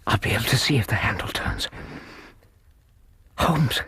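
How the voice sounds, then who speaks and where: An actor playing an anxious, loyal British gentleman accomplice in a radio drama, earnest and breathy. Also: medium pitch, expressive, moderate pace, whispered, full character voice. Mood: urgent, alarmed.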